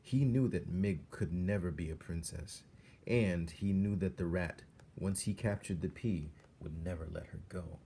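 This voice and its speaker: A man's voice: low voice, a little slow, dark tone, slight background noise. A man reading a storybook aloud as a dramatic narrator. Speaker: storybook reader.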